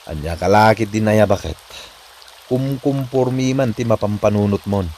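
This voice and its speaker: A man's voice: lowish voice, normal speed, a little mellow, close to an everyday voice. A man with an Ilocano accent playing an exasperated, grumbling husband in a comedy drama, on a noisy recording. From Philippines. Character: exasperated husband grumbling at his strict wife.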